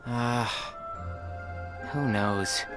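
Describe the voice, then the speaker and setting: A man's voice: mid-range voice, very slow. Earnest teenage boy, music behind. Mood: awkward, caring. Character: earnest, loyal teenage boy, moody and protective. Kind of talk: video game cutscene acting.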